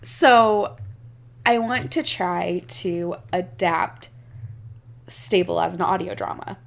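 A woman in casual chat, excitable and halting as she thinks aloud. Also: fairly low pitch, creaky. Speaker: podcast creator pitching an idea to friends.

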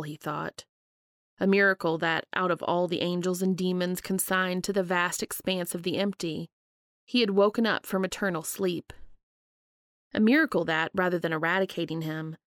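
A woman's voice: lowish voice, no echo. Woman narrator with a dry, close sound. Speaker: podcast host and fan fiction reader. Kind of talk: scripted narration, reading fiction aloud.

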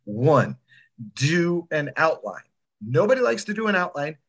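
A man's voice: fairly high pitch, big pitch swings, unhurried, a bit bright, breathy edge. A man talking casually as a podcast guest, blunt and emphatic, often checking in with his listeners. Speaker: writer and podcast producer, guest. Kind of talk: casual interview talk, giving tips.

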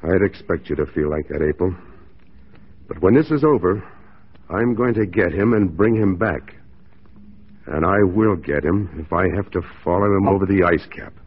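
Casting: stern, dutiful lawman in old radio drama, rough-edged voice, dull recording. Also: low voice, lively intonation, normal speed. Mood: grave, pained. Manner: terse, firm, grave. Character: stern, dutiful mounted police sergeant, stoic and resolute. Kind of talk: 1940s radio drama acting.

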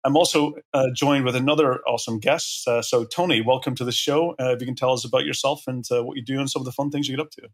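A man of mid pitch hosting a podcast. He talks quickly and enthusiastically, with plenty of fillers. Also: fairly even intonation. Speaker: podcast host.

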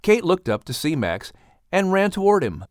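A man's voice: high voice, big pitch swings, moderate pace, no room sound, studio quality. A man hosting and narrating a scripted animal story as a storyteller. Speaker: host and narrator.

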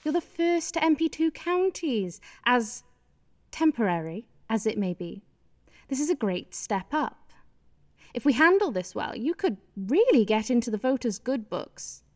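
An actress in a radio play as an ambitious, scolding, scheming politician's wife. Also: female voice, on the high side, very animated, measured pace. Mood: coaxing, bossy.

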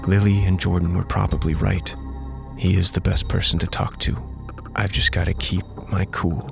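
Low, gravelly, guarded young man. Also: flat delivery, over music. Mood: tense, brooding. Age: late 20s. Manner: guarded, measured, hesitant. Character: guarded, brooding man in his late twenties, secretly suspicious. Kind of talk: radio drama acting with brooding inner narration.

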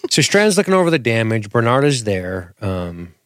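A man's voice: fairly low pitch, big pitch swings, moderate pace, fairly bright tone, no room sound. A man co-hosting a casual TV episode recap, keeping the talk on track with dry, teasing humor. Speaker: podcast co-host.

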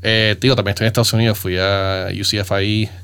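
Male guest, Puerto Rican accent, low smooth voice, casual informal conversation. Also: flat delivery, fast talker, fairly bright tone. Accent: Puerto Rican Spanish. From Puerto Rico. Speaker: podcast guest. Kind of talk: casual chat.

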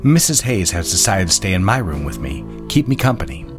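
A man's voice: low-pitched, big pitch swings, brisk. A man playing a domineering, seductive wealthy lover in an audio drama, commanding, suave and controlled. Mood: smooth, assertive. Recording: music behind the voice.

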